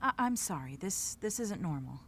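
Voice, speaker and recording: A woman's voice: lowish voice, big pitch swings, moderate pace. An actress playing a flustered, protective defense lawyer in an audio drama, formal and hesitant in her speech. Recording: clearly noisy, with clicks.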